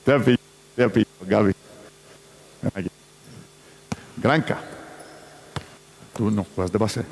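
A man's voice: on the low side, measured pace, husky. A man who is not a native Spanish speaker runs a basketball coaching clinic, giving choppy instructions and calling out to players on court. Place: large indoor basketball arena. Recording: echoey sports hall.

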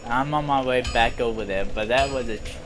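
A man's voice: mid-range voice, a little fast, background noise. A young adult man in casual gaming chat with a friend, playful, boastful and given to exclamations. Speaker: gamer playing with a friend. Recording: clear game music behind voice chat. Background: game music, metal clinking.

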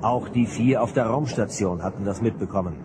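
A man's voice: on the low side, brisk, very noisy, natural voice. A man narrating a science fiction audio drama in a scripted, dramatic storytelling style.

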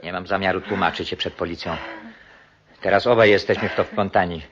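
A man's voice: sits low, fairly even intonation, a little fast, crowd noise, close to an everyday voice. An actor playing a self-serving, calculating doctor in a radio play, commanding and cynical as he reasons. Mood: urgent, calculating. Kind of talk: Polish radio play acting. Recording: muffled radio-play recording with background noise.

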